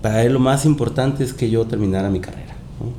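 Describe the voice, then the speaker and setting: A man's voice: fast, mellow. A man chatting casually as a podcast guest. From Mexico and Panama. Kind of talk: casual chat. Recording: roomy echo, noticeable rumbling noise.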